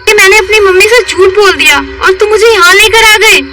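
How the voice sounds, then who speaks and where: A woman's voice: very high voice, fairly quick. A woman voicing an anxious, easily indignant young female student in a horror cartoon. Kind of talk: cartoon dialogue. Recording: loud music bed, distant-sounding voice.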